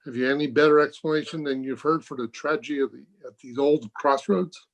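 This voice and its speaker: Man acting a firm doctor, slightly high voice. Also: brisk, lightly acted, rough recording. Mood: firm, defiant. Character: authoritative, open-minded hospital doctor, thoughtful and firm. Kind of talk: old-time radio mystery acting. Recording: home video-call recording.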